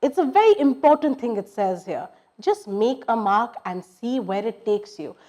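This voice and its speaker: A woman narrates a children's read-aloud as the storytime host. Her voice is high and her pitch moves freely. She is expressive and explanatory, speaking directly to young children. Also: moderate pace.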